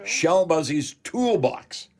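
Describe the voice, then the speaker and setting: A man's voice: fairly high pitch, very animated, takes it slowly, a little mellow. An older man with a Canadian accent hosts a home improvement radio call-in show in a chatty, folksy way, talking straight to his listeners.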